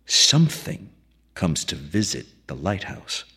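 A brooding, mysterious lighthouse keeper from a storybook, acted out by a male reader. His voice sits very low and husky, and he muses in a hushed, folksy way, wistful about the sea. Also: big pitch swings, measured pace, full character voice. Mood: brooding, ominous.

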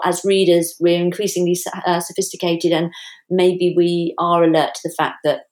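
A woman with a slightly low voice, recorded in a noticeably roomy space. She talks as a literary guest in a thoughtful podcast conversation, slipping animatedly into imagined replies to illustrate her points. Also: a little mellow. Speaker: guest novelist and writing teacher. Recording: roomy, echoey sound.

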